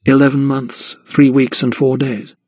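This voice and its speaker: A man voicing a young widowed gentlewoman, polite and restrained. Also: mid-range voice, level tone, measured pace, no echo, natural voice.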